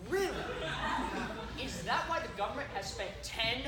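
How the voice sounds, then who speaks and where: A woman's voice: a little high, expressive, normal speed, a loud crowd, close to an everyday voice. A woman playing an earnest, self-important presenter of a zombie survival announcement on stage, mock-serious and declamatory. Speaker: presenter narrating the play. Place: stage in a hall. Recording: echoey stage recording.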